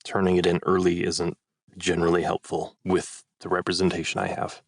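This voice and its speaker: A man's voice: deep voice, monotone, brisk, creaky, husky, no room sound, clean studio sound. A man who works as a voice actor, talking casually on a podcast in a thoughtful, rambling way. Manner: thoughtful, rambling with restarts and hedges. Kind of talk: casual chat.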